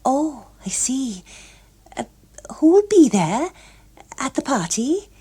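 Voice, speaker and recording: A woman reader acts out a naive young woman in an audiobook. She is polite and a little hesitant, keen to agree. Her pitch is raised and lilts up and down. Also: very animated, slow, warm tone, slightly rough, heavily acted. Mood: polite, eager. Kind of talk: audiobook character voice.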